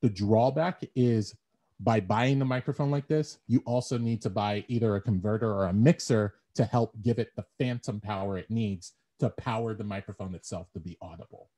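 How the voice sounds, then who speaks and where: A man with a deep baritone voice giving an instructional talk, explaining things step by step and repeating phrases as he thinks. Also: normal speed, dark tone. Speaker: presenter. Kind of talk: instructional talk on audio gear. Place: small bare room at home. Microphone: desktop condenser mic, then earbud headset. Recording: dull lo-fi earbud audio with echo.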